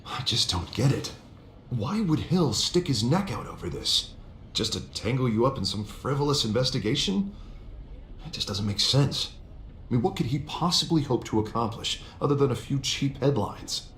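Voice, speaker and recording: An American actor playing a harried but loyal district attorney in a video game, speaking emphatically in blunt, colloquial language. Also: male voice, mid-range voice, very animated, moderate pace, a bit bright. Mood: worried. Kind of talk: video game voice acting, cinematic dialogue. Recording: roomy, noisy ambience behind.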